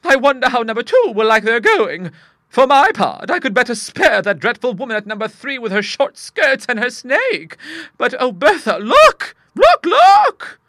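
A man voicing an excitable elderly spinster with a high, thin voice and breathless, exclamatory manner. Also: moderate pace, no echo, studio quality, exaggerated voice. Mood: fretful. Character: excitable elderly spinster woman, nervous and indignant.

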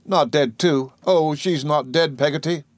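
A man's voice: fairly high pitch, huge pitch swings, fast, no room sound, studio quality, pitched above the performer's own voice, exaggerated voice. A man voicing an anxious, tender-hearted young boy in an audiobook, plaintive and questioning. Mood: anxious, pleading. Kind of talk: audiobook character dialogue.

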